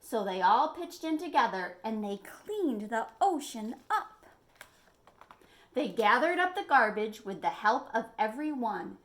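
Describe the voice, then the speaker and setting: A woman with a high, very lively voice reads a children's story aloud. She takes her time and is expressive, like a librarian at storytime drawing young listeners in. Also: a little slow. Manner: expressive, asks listeners questions. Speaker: children's librarian reading a picture book aloud.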